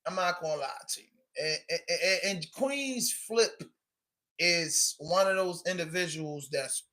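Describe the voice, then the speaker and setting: A Baltimore man with a slightly high voice hosts a casual live stream, hyping up his chat. His talk wanders and circles back, full of "you know what I mean," as he asks people where they are from. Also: measured pace, pushed and bright. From Baltimore, Maryland, US. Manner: loose, rambling, repeats "you know what I mean", urges viewers. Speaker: live-stream vlogger and news reactor. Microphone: camera on a tripod.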